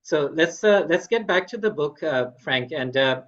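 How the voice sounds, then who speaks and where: A man's voice: on the high side, expressive, moderate pace, a bit bright, muffled recording. A man hosting an interview podcast, polite and formal, with frequent fillers. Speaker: podcast host.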